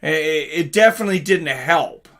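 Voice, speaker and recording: A man's voice: a little high, expressive, moderate pace, pushed and bright. A man hosting a casual live reaction stream, chatting informally with viewers and commenting on fights. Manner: chatty, informal, greets viewers and reads chat aloud.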